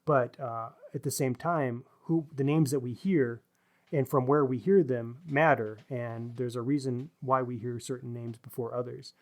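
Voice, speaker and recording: A man's voice: medium pitch, steady tone, moderate pace. A man co-hosting a podcast, talking through books in a casual, reflective way with literary wording and some hesitant phrasing. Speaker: podcast co-host discussing books.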